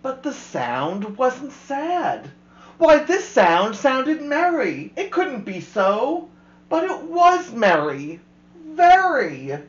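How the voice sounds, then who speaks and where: Woman reading a kids rhyming book out loud, bouncy expressive, bit muffled and roomy. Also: sits high, drawn-out words. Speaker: storyteller host. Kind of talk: reading a children's picture book aloud.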